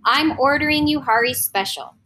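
A woman reading an audiobook, voicing a bossy, caring older Hawaiian uncle with a Hawaiian Pidgin accent and a gruff, teasing way of ordering people about. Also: sits high, normal speed, sharp tone, a little hiss or hum, voice pushed up. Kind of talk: audiobook character voice.

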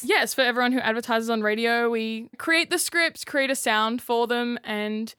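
A woman's voice: fairly high pitch, steady tone, moderate pace, sharp tone, smooth, no echo. A woman, a radio creative writer chatting easily in a studio. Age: 20s. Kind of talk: casual chat.

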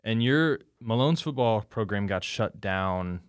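A man's voice: lowish voice, lively intonation, unhurried, clear, resonant tone, dry recording, studio quality. A man in his twenties hosting a podcast, reading a scripted intro and then asking easygoing interview questions. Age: 20s. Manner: easygoing, rephrases questions as he asks them. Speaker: podcast host.

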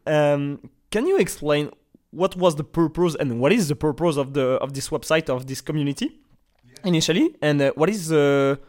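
A man whose first language is French, hosting a podcast interview and asking hesitant, halting questions. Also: on the high side, a little mellow. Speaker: podcast host. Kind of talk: casual interview talk.

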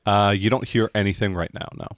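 A man with a fairly low voice runs a tabletop game. He describes the scene haltingly, improvising as he goes, and the recording is very dry. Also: fairly even intonation, no echo. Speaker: game master.

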